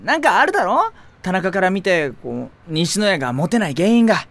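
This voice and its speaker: A man playing an easygoing, teasing high-school upperclassman in an anime audio drama, with a wry, casual way of talking. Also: very animated, fairly quick, a bit bright, heavily acted. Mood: teasing, frank.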